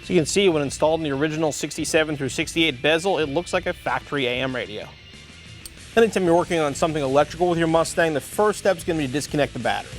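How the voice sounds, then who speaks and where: A man presenting an instructional product demonstration as a tutorial host, explaining things clearly step by step. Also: on the high side, very fast, over music. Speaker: host of car parts installation tutorial.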